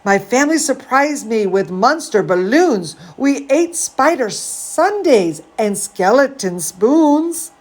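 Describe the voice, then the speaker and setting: A woman's voice: on the high side, animated, slow, few pauses. A woman voicing an earnest young zombie girl ballerina who tells her own storybook tale with theatrical, stretched-out words. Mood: proud.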